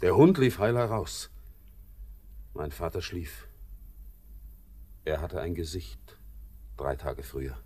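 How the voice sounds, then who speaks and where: Brooding, low-voiced seaman, slow and weighty. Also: animated, warm tone, full character voice. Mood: grave, haunted. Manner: theatrical, weighty verse declamation. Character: brooding, melancholy seaman, bitter and world-weary. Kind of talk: classic German radio drama acting.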